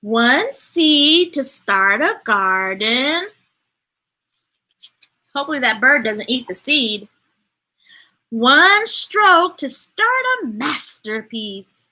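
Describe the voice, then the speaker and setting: A woman hosting a children's storytime, reading aloud in an expressive, playful, emphatic way with chatty asides to viewers. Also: high-pitched, very slow, long pauses.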